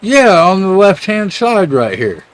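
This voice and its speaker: Informal male voice on the higher side, colloquial, for relaxed back-and-forth stream chat. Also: measured pace. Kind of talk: casual chat on a live stream.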